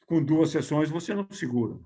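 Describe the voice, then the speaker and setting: Theatre veteran, male, 70s, Brazilian accent. Somewhat raised pitch, loose conversational recollections with repeated words. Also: lively intonation, brisk, mellow. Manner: repeats words, informal storytelling. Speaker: veteran theatre producer, main speaker. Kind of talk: casual reminiscing in a group online talk.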